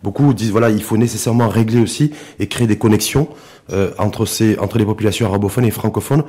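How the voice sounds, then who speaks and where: A man's voice: on the low side, fast, mellow, breathy edge. A man hosting an interview, putting probing questions and rephrasing what he hears as he goes. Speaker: host, interviewer.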